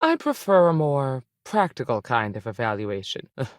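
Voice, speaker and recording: A woman's voice: rumbling low, very animated, moderate pace, no room sound, clean studio sound. An actress playing a tough, dutiful woman space ranger in a video game, speaking in a plain, firm manner. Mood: serious, matter-of-fact. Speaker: video game character voice actor. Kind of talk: game dialogue lines.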